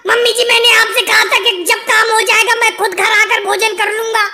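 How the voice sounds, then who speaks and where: Someone voicing a squeaky-high cartoon little man, bickering. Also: flat delivery, brisk, noisy background. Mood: argumentative. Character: pitched-up cartoon caricature of a squabbling male politician schoolboy or labourer. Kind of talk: comic cartoon dialogue in Hindi.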